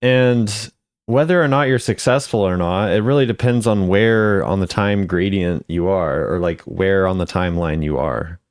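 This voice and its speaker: Male gaming commentator, lowish smooth voice, laid-back and musing, dry close sound. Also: moderate pace, no echo. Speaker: video creator reacting to game voice lines. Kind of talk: casual reaction commentary.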